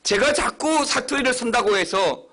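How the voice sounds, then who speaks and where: Middle-aged man, Busan accent, pastor in the pulpit; high, bright voice that swings widely on emphasis. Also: animated, normal speed. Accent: Busan Gyeongsang. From Busan, South Korea. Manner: emphatic preaching with sudden high, loud stresses. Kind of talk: sermon.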